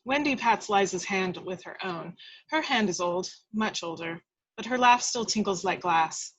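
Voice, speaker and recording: A woman's voice: medium pitch, animated, unhurried, husky, poor audio. A woman reading stage directions as the narrator of a script table read, in a dramatic storytelling style.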